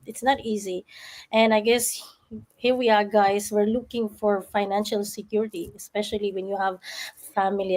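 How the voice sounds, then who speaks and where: Chatty higher-voiced woman hosting a call. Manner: chatty, many "like" and "right?" fillers. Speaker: host of the group call. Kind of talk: casual chat.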